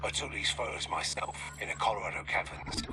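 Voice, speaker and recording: An actor playing a ruthless, scheming research boss, clipped and theatrical in a dramatic video game cutscene. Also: male voice, fairly low pitch, a little fast, background noise, lightly acted. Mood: cold menace.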